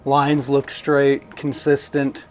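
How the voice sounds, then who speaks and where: Male reviewer, mid-pitched, chatty and unscripted hands-on unboxing delivery, light music underneath. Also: monotone, slow pace, darkish tone, rough recording. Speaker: product reviewer.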